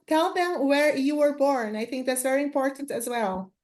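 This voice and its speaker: Brazilian woman, class host, high voice, prompts others to talk. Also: animated, normal speed, a little mellow. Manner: prompting, directing turns. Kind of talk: moderating a class conversation.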